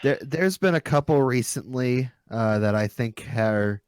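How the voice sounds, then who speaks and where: A man's voice: mid-range voice, lively intonation, unhurried, a little mellow, clean tone, no echo. A man chatting casually as a podcast co-host, with wry, joking asides. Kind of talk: casual group chat about pop culture.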